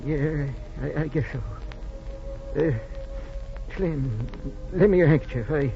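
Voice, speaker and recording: An actor playing a wistful, grieving man in a 1940s radio drama, speaking haltingly and trailing off on a muffled old recording. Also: a little high, gravelly, soft background music. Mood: grieving, choked up. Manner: halting, trailing off, choked with sentiment.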